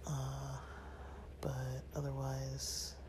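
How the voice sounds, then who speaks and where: A man hosting his own channel, talking casually to viewers at home in a raspy, half-whispered voice, rambling with lots of fillers and feeling a little unwell. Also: mid-range voice, completely flat delivery, drawn-out words, background noise. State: a bit unwell, rested. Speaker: channel host.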